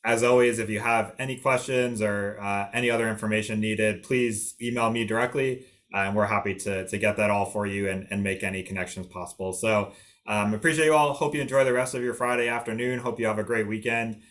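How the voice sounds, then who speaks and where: A man's voice: lowish voice, steady tone, a little fast, fairly bright tone. A man hosting the session, closing it with polite, repeated thanks on a video call. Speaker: admissions host. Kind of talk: closing remarks.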